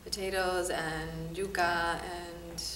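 A woman theater artist giving relaxed, easygoing answers in an interview. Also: fairly low pitch, slow pace, slight background noise. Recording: echoey room, distant mic.

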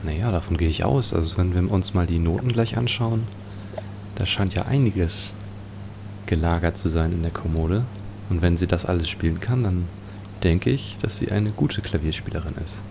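Deep-voiced man with gravelly creak, musing. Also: normal speed, noisy background. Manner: musing, speculative. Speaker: let's play host. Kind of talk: let's play commentary on an adventure game.

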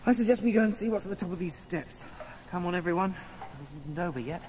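Plucky young English adventurer, noisy backdrop. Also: male voice, high-pitched, lively intonation, fast talker. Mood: earnest, determined. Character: plucky, earnest young male adventurer, resourceful and upbeat. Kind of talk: radio drama acting with narration. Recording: noisy radio drama sound effects behind.

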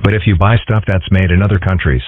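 Man as stilted comic-strip animal, deadpan, low voice, narrow phone-like sound. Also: fast, slightly rough. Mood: matter-of-fact.

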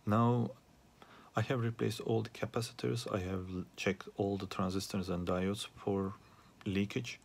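Halting, low-pitched man with a creaky voice. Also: little pitch movement, slight background noise. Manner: halting, with frequent pauses and hesitations. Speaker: hobbyist repairer asking viewers for help. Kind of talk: unscripted troubleshooting demonstration. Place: small indoor workspace at a repair bench.

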